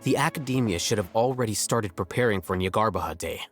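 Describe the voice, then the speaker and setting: A man voicing a cool-headed, calculating strategist in an anime video game, measured and deadpan. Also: on the low side, faint music behind, natural voice. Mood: calm, assured.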